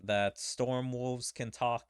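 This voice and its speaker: A man's voice: mid-range voice, fairly even intonation, slow pace, clean tone, no echo, clean studio sound. A man giving casual, rambling gameplay commentary as a streamer, speculating as he goes with plenty of filler words. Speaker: video game streamer. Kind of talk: casual gameplay commentary with dialogue read aloud. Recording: game music behind the voice. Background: video game music.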